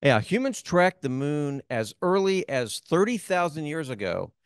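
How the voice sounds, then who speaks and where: Middle-aged man, lively up-and-down voice, jokey, handheld mic, dry sound. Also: fairly high pitch, unhurried, clear, resonant tone, no room sound. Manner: jokey, animated, quips between scripted lines. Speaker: co-host. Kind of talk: scripted corporate town hall chat. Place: studio set in front of a screen.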